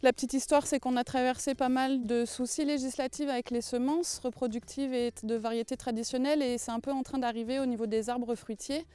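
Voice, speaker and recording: A woman's voice: high-pitched, steady tone, brisk, few pauses, warm tone, smooth, faint music behind. A woman giving an interview as a designer, explaining things in an articulate, spontaneous way. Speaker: permaculture designer. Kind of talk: documentary interview, spontaneous speech.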